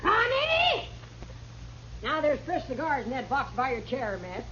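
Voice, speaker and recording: A woman's voice: high voice, huge pitch swings, unhurried, exaggerated voice. A woman playing a worldly, teasing middle-aged saloon keeper, folksy and bossy, in a 1950s western radio drama. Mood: matchmaking. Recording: noisy, muffled old radio broadcast.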